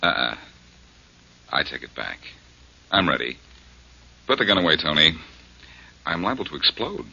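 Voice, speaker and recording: An actor playing a cool, wisecracking male detective in a 1940s radio drama, speaking in a dry, mocking, unhurried way. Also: extremely low pitch, big pitch swings, gravelly, bright, forceful tone, husky, full character voice. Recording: muffled old radio broadcast.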